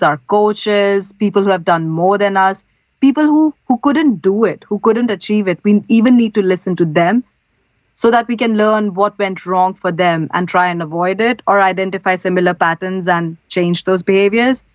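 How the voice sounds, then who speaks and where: Woman from India, pro voice-over artist as guest, earnest and practical, medium pitch, slightly muffled recording. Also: no echo. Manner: earnest, practical, gives advice step by step. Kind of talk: interview answers. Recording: slightly dull, lo-fi audio.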